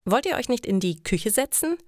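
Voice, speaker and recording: A woman's voice: on the high side, very animated, moderate pace, a little mellow, no room sound, studio quality, voice pushed up. A woman voicing a direct, helpful young woman in a story for language learners. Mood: annoyed. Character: young woman neighbour, direct, helpful, fond of crime shows. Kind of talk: German learner story dialogue.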